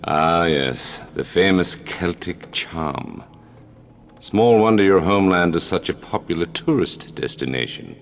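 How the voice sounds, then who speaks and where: Deep, suave English spymaster. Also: male voice, lively intonation, unhurried, in a large hall. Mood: dryly mocking. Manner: urbane, dry, condescending. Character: suave, menacing British spymaster, dry and condescending. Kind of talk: dramatic video game acting.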